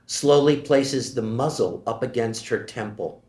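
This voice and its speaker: A man reading stage directions aloud at a screenplay table read, steady and matter-of-fact. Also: mid-range voice, a little slow. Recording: echoey room.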